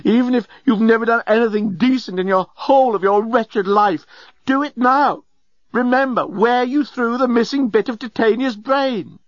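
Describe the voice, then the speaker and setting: Storybook alien newsman, male, acted by a man: pushy, exasperated, high shouted delivery, dry but dull sound. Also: very animated, fairly quick, few pauses, breathy edge, no room sound, heavily acted. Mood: furious. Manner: urgent, exasperated yelling. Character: dreadful frantic male alien journalist, bullying and shouting.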